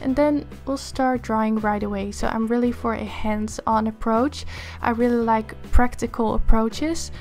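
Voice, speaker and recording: A young woman with a Dutch accent, hoarse from a cold, chatting upbeat over a drawing tutorial video. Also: on the high side, fairly even intonation, moderate pace, darkish tone, faint music behind. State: hoarse, losing her voice from a cold. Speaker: art tutorial creator. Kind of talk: casual voice-over for a drawing time lapse.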